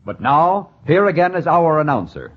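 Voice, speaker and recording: A man's voice: a little high, big pitch swings, moderate pace. Male radio host, widely swooping intonation, suspenseful and portentous, dramatic 1940s narration, dull noisy old recording.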